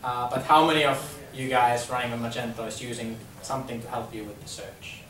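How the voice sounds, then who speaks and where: A man's voice: medium pitch, little pitch movement, fairly quick. A man in his thirties with a Finnish accent, giving a casual business talk to a room, repeating words and saying um a lot. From Finland. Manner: chatty, repeats words, many ums. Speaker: presenter. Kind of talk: business presentation. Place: conference room with audience. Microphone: far camera, no mic. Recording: echoey room from far off, faint hum.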